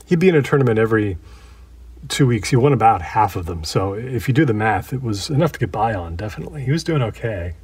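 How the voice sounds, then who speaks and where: An actor playing an ordinary man recounting a friend's haunting in a naturalistic documentary interview, halting and conversational, in short phrases with pauses. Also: lowish voice, animated, a little mellow, breathy edge, full character voice. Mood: hushed, reflective. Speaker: interview subject in an audio drama.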